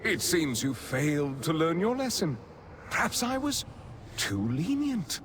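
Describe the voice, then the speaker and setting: An actor playing a grandiose, mocking vampire lord villain in a video game, pompous and silkily sardonic. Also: male voice, a little high, very animated, a little slow, a bit bright, slight background noise. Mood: sardonic, gloating.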